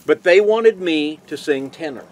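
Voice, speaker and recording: Animated, playful older man joking outdoors. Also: fairly high pitch, unhurried. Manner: jokey, playful, folksy. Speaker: vlog co-host. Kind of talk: casual joking chat. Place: outdoors in open desert beside an RV. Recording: outdoor ambient noise.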